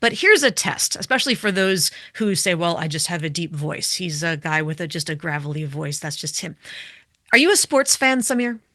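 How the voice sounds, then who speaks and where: A woman and public speaking expert, explaining with animated vocal demonstrations and questions in conversation. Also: lowish voice, normal speed, no echo, studio quality.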